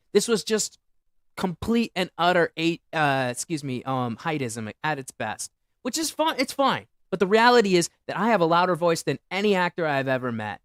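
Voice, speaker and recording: A young man hosting a solo livestream, rambling and boasting loudly about himself. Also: a little high, no echo, clean studio sound. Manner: boastful, rambling, self-aggrandizing. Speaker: livestream host.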